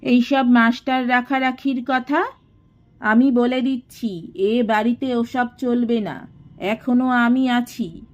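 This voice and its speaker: A woman plays an imperious household matriarch from an audio storybook. She speaks high and slowly, with scolding sarcasm and domineering pride, on a slightly dull recording. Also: fairly even intonation. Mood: scornful, scolding. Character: haughty, scheming, domineering elder matriarch of a declining household.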